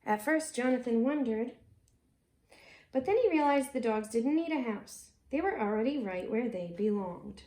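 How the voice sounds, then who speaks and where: An American woman with a high-pitched voice hosts a read-aloud for children. She reads in an expressive storybook style and slips into chatty asides, speaking directly to her young audience. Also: normal speed.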